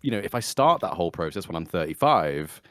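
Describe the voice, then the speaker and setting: A man in his twenties chatting casually on a podcast, thinking out loud in a rambling, reflective way. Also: low voice, sing-song, a little fast, no room sound, clean studio sound. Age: 20s. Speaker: podcast guest.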